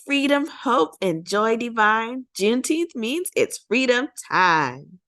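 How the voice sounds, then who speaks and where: Woman reading as expressive, hopeful great-grandmother storyteller. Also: a little high, slow, dry recording, studio quality, pitched above the performer's own voice, heavily acted. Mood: jubilant, earnest. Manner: emphatic, exclamatory, lyrical phrasing. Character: Opal Lee, warm elderly great-grandmother storyteller, hopeful and jubilant. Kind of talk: children's storybook character reading.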